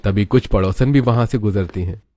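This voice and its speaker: A man's voice: on the low side, fairly quick, mellow. A man reading scripted narration for a cartoon story.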